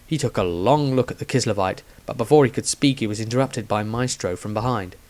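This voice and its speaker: A man narrates a fantasy tale from a script. His voice sits a little low, in an audiobook storytelling style. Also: brisk, a little mellow. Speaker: author narrator.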